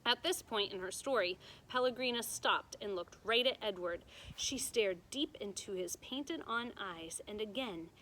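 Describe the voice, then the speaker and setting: A woman's voice: medium pitch, normal speed, a bit bright, slight background noise, rough recording. A woman teacher reading a story aloud to students, explaining it simply and checking in with them as she goes.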